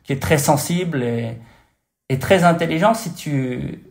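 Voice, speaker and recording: A man's voice: fairly high pitch, measured pace, vocal fry. A French man with a slight American-tinged accent, a guest chatting casually over a remote call, often losing his words and restarting. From France. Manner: searching for words, many restarts and fillers. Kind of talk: casual interview chat. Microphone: remote call over computer.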